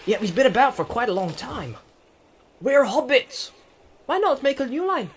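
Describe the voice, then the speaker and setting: Man doing an eager, high-voiced young male halfling. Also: sing-song, normal speed, breathy edge, full character voice. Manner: bright-eyed, inquisitive, open and chatty. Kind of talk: audiobook character acting.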